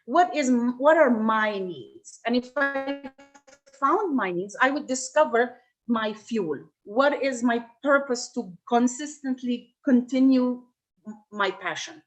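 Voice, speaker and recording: A woman's voice: a little high, animated, slow, soft background music, low-quality recording. A middle-aged woman from Lebanon giving a reflective workshop talk, with frequent restarts and fillers as she thinks aloud. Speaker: workshop speaker.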